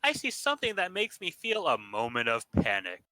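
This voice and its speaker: A man's voice: a little high, huge pitch swings, a little fast, sharp tone, no room sound. A man reading first-person narration aloud in a casual staged reading, in a playful way. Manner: playful, dropping jokey asides.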